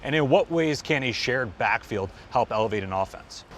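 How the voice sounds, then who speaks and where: A man with a medium-pitched voice works as a sports host. He delivers prepared reports and structured interview questions. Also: expressive, moderate pace, a bit bright, slight background noise.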